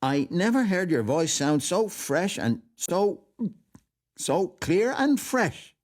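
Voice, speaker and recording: A man voicing an excitable, tipsy man gushing at a party in a storybook, repeating himself emphatically. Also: high voice, takes it slowly, voice pushed up, full character voice. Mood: gushing, excited. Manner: repetitive, emphatic, effusive.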